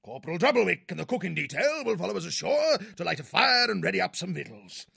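A man voicing a bossy military hare sea-captain in a storybook, with a raspy British bark and pompous bluster. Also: high voice, sing-song, fast talker, no room sound, studio quality, voice pushed up, heavily acted. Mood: brisk command. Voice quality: raspy, gravelly shout.

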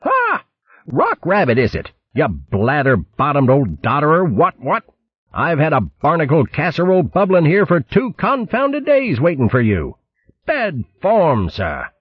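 A blustering posh old hare. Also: male voice, on the high side, wildly swooping pitch, measured pace, husky, dry recording, muffled recording, heavily acted. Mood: indignant. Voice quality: plummy, blustery, peppered with "what".

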